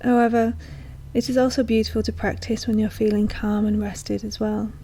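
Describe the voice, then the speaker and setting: A woman guiding a meditation in a soft, breathy, often near-whispered voice, speaking gently and slowly with long, soothing pauses. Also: medium pitch, little pitch movement. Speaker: guided meditation teacher. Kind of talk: slow guided meditation narration.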